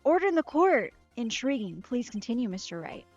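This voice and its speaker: A woman's voice: on the high side, big pitch swings, a little mellow, no echo. Woman voicing an old formal judge. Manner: formal, measured. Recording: slightly muffled with game music.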